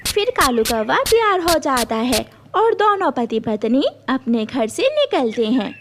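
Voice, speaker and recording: A woman's voice: high-pitched, big pitch swings, unhurried, a little hiss or hum. A woman reading scripted narration for a children's cartoon story.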